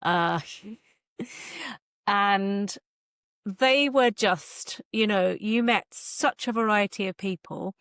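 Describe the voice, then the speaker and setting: Middle-aged female author for a casual interview: mid-pitched, self-deprecating, thinks out loud, very dry recording. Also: drawn-out words, long pauses, clear, resonant tone, no echo. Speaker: guest author and former magazine journalist.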